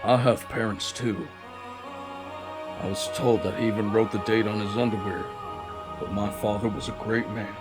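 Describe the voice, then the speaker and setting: A man playing a grave, persuasive president villain in a dramatic anime fandub, speaking in measured, formal, declamatory monologue. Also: fairly low pitch, steady tone, music in the background, natural voice. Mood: earnest, wistful. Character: male president villain, solemn, persuasive, grave, honor-bound vower. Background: dramatic orchestral soundtrack.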